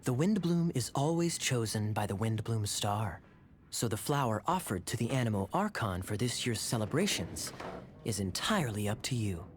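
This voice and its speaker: A man voicing a smooth, teasing male knight captain in a video game dub. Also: fairly low pitch, very animated, normal speed, faint music behind. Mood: wry.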